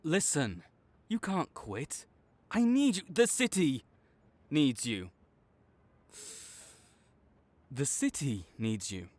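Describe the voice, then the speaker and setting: A man playing a proud supervillain in a dramatic audio roleplay, grudging and exasperated, with a secret soft spot that makes him flustered. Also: fairly high pitch, very animated, slow, full character voice. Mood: urgent pleading. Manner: tsundere, grudging, exasperated, stammering when sincere.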